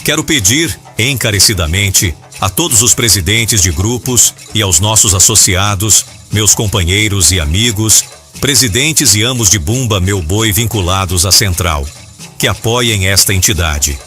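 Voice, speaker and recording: A man's voice: lowish voice, expressive, measured pace, pushed and bright, faint music behind. A man who presides over an association, making an earnest and formal appeal to its members. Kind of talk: recorded appeal.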